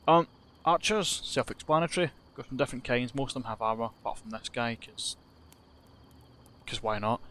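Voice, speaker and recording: A man's voice: medium pitch, normal speed, fairly bright tone, slight background noise. A young Scottish man giving rambling, self-deprecating commentary over a game he is playing, swearing casually. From Scotland. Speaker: gaming YouTuber.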